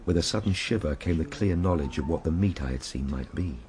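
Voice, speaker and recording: An actor playing a reflective Victorian gentleman recounting his ordeal, in measured, formal radio drama narration. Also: low voice, animated, a little hiss or hum. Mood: grave, reflective.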